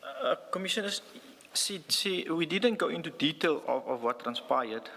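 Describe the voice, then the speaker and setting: A man giving formal testimony as a police witness, speaking in a hesitant, halting way. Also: on the high side, very animated, creaky, sharp tone, slight background noise. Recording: reverberant hearing room.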